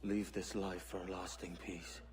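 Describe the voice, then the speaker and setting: An actor playing a hardened, vengeful pirate turned assassin in dramatic game cutscenes, speaking gravely and deliberately. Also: male voice, sits low, flat delivery, slight background noise. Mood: grave, resolved.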